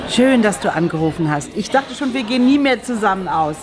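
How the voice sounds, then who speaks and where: A woman's voice: medium pitch, expressive, brisk, natural voice. An actress playing a flirtatious, teasing woman on a dinner date in a radio crime drama, playful and coaxing. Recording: busy restaurant chatter behind the voice.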